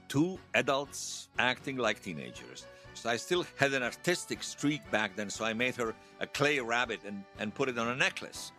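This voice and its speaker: A man's voice: fairly low pitch, pushed and bright. An actor playing a nostalgic, wryly amused man recalling his past conversationally in an interview-style audio drama. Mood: fond, amused. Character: nostalgic man recalling lost love in a future world.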